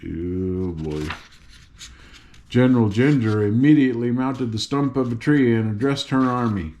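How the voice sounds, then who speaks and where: A man's voice: mid-range voice, a little slow, a little mellow. An older man narrating a children's story as a read-aloud, with informal little asides.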